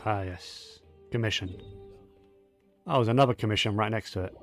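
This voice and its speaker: A man casually commenting on his own gameplay, low-key and muttering to himself as he plays. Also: normal speed, faint music behind. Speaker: gaming channel host.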